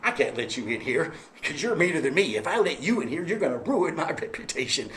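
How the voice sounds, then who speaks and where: A man voicing the devil himself in a low, hushed, scheming voice. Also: sing-song, brisk. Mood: sly. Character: menacing devil father, sly and scheming.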